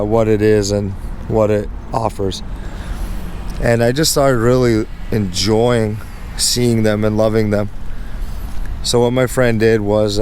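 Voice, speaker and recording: A man hosting a casual car walk-around vlog, earnest and unhurried, with a slightly raspy voice from being under the weather. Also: on the low side, a little mellow, slight background noise. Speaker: host of a car channel.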